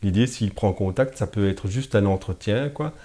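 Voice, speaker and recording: A man with a low, mellow voice. He answers casually in an interview as a youth worker. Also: normal speed.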